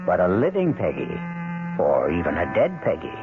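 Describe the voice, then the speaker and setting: An actor as a grave, wry storyteller hosting a radio mystery, narrating in a measured, ominous manner. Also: male voice, deep voice, wildly swooping pitch. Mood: wryly ominous. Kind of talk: scripted radio drama narration. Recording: tinny vintage radio broadcast. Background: prominent music.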